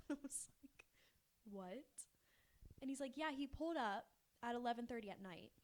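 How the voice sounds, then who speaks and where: High-voiced young woman telling a story. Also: lively intonation, normal speed. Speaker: podcast co-host. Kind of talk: casual storytelling chat.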